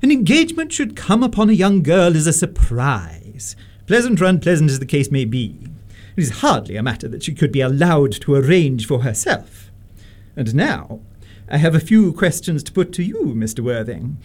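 Give the voice of an imperious middle-aged Victorian lady acted by a man, pitched high for a male voice and swinging wildly up and down. The delivery is pompous and deliberate, full of haughty disapproval, in a radio stage-comedy style. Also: sing-song, a little mellow. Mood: stern, indignant. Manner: pompous, deliberate, swooping emphasis.

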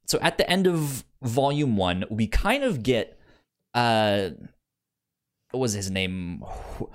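A man's voice: mid-range voice, lively intonation, slow pace. A man co-hosting a casual book discussion podcast, speaking hesitantly and often stammering over his words. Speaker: podcast co-host.

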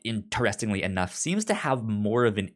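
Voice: mid-range voice, big pitch swings, brisk. A podcast co-host casually discussing books in a hesitant, stammering way.

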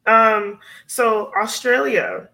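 Woman from miami just chatting on a podcast, bright voice, says like and you know a lot. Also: fairly high pitch, very slow, gravelly, poor audio. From Miami, USA. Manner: chatty, relaxed, lots of fillers like "you know" and "like". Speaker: guest, psychiatric nurse practitioner. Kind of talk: casual interview chat.

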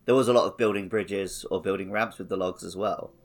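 A man's voice: low voice, steady tone, brisk. A man co-hosting a podcast in casual, conversational chat. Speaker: podcast co-host. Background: music with plucked strings.

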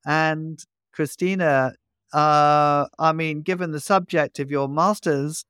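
An older British man hosting a podcast, speaking in a halting, friendly way with many repeated words and chuckles. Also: on the high side, fairly even intonation, fairly bright tone, smooth, no echo, clean studio sound. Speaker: podcast host. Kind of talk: conversational interview.

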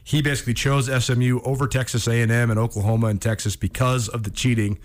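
A man's voice: mid-range voice, level tone, fast. American man, emphatic sports radio host. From Montana, United States. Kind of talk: casual radio chat, storytelling. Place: radio studio.

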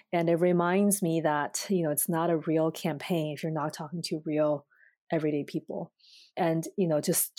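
Lowish-voiced woman podcast cohost from china, thoughtful and chatty, dry close mic. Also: darkish tone, dry recording. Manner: thoughtful, many fillers and restarts. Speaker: podcast co-host. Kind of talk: casual conversational podcast.

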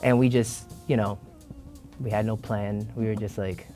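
A man's voice: fairly low pitch, steady tone, normal speed, mellow. Hesitant young man, faint music. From born in Singapore, raised in Minnesota. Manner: hesitant, many fillers and restarts. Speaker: band leader, guest. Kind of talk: casual interview.

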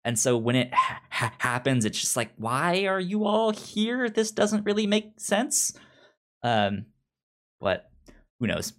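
A man who stutters on syllables and sounds, talking casually and haltingly as a podcast co-host explaining pop culture. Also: high-pitched, sing-song, a bit bright.

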